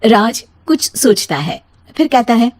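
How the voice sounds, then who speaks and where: A woman's voice: fairly high pitch, sing-song, a little slow. A woman storyteller giving a scripted narration of an emotional moral story.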